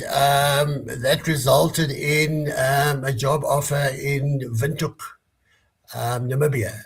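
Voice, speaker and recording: A man's voice: medium pitch, husky. Sixties guy from south africa, lots of ums, answering questions over a call. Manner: hesitant, many fillers and restarts. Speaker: guest, business leader. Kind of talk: casual interview answers. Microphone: remote call.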